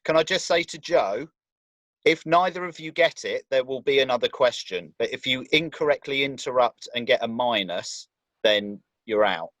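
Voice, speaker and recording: A man of middling pitch talks casually over a video call, explaining the rules of a quiz. He is helpful and spontaneous, and the sound is dry. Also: lively intonation, normal speed, a bit bright, no room sound. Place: indoors, online video call.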